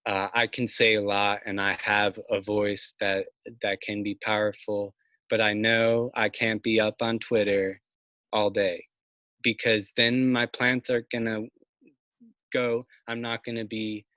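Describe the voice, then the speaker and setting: A man in his thirties speaks over a video call from outside his house, his voice bright and forward with a dry, close sound. He talks slowly and thoughtfully, stopping often with fillers and restarts as he works through an idea. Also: on the low side, no room sound. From Massachusetts. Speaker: farmer, interview guest. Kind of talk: casual video call conversation. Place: outdoors by a house's siding. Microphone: video call, laptop or phone mic.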